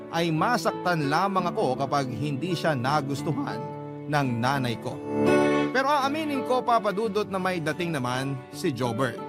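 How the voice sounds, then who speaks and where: Higher-voiced Filipino male storyteller over loud guitar music. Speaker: radio storyteller. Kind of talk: calm first-person reading of a listener's love story. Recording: loud background music under voice.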